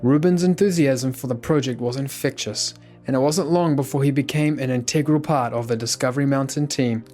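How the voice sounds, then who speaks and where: A man's voice: mid-range voice, brisk, darkish tone, faint music behind. A man reading scripted documentary narration.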